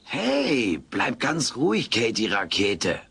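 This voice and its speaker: Furry alien smart aleck, husky, swooping lively delivery, melodramatic, for comedy radio play. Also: male voice, mid-range voice, takes it slowly, full character voice. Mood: sulky, teasing. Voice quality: raspy, gravelly. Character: wisecracking furry alien houseguest, cheeky, melodramatic, male. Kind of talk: German comedy audio drama dub.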